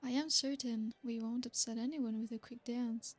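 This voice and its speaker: Female performer as an ancient noble vampire, seductive and possessive. High, even delivery, slow and formal. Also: close to an everyday voice. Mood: coaxing. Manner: soft, formal and flattering. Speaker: ASMR roleplay performer. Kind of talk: ASMR roleplay.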